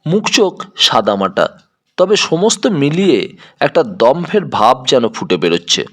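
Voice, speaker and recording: A man's voice: medium pitch, sing-song, a little slow. A man narrating a scripted detective story as an audio storyteller.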